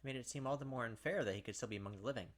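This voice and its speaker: A man hosting a gaming video, reading a visual novel aloud with animated, blunt casual commentary. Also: medium pitch, rapid-fire.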